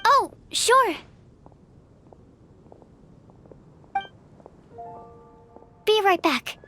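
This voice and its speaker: Very high-voiced, earnest young scientist. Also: female voice, animated, slow, bright, forceful tone, soft background music, exaggerated voice. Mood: hesitant, polite. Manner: precise, analytical, polite. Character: earnest bookish young female scientist, polite and precise. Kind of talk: video game character dialogue.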